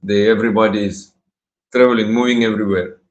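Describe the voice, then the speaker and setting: A man from Russia with a Russian accent gives a devotional talk on scripture. He speaks haltingly, with many pauses and restarts, and laughs often. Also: on the low side, steady tone, roomy sound, rough recording.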